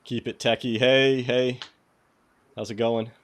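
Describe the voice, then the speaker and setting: A man's voice: mid-range voice, flat delivery, unhurried. A man with a New England accent hosting a casual livestreamed tech tutorial, reading quiz questions aloud and greeting viewers by name. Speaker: host of a cybersecurity lab walkthrough.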